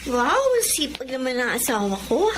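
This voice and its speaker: A high-voiced young Filipino wife, devoted and earnest. She speaks in expressive radio drama style, with clear noise behind her. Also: normal speed. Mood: affectionate. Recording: clear background noise and ambience.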